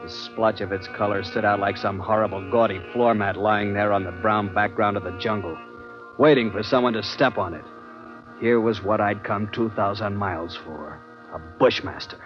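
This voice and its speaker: An actor playing a hard-boiled American adventurer who narrates a vintage radio drama in a clipped, dramatic way. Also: male voice, lowish voice, moderate pace, natural voice. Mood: tense, awed. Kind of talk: 1940s radio drama acting, first-person narration. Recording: dull vintage radio recording with loud music.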